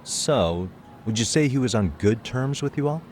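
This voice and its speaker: A man's voice: medium pitch, expressive, moderate pace, slight background noise, natural voice. A man playing an easygoing, wry private detective in a video game, speaking in a casual, dry way. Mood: friendly, probing. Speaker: detective character. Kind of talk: English game voice acting. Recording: loud music behind the voice. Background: background music.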